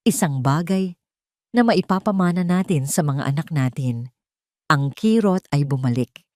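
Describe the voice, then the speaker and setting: Female storyteller for scripted drama narration; lowish register, highly expressive intonation. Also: no echo, clean studio sound.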